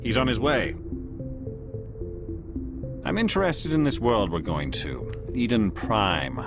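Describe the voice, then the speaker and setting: An actor playing a calm, watchful alien elite agent in a video game cutscene, speaking in a measured, formal, probing way. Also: male voice, fairly low pitch, gravelly, over music, heavily acted.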